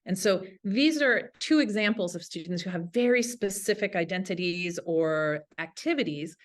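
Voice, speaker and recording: A woman's voice: fairly low pitch, expressive, moderate pace. A woman teacher giving an instructional webinar talk to colleagues in an enthusiastic, informal way. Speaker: language teacher presenting a webinar.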